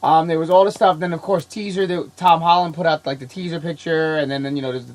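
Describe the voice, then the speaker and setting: Sick, excitable male podcast host. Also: on the high side. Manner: excitable, rambling, quick to laugh. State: sick, coughing. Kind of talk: casual chat.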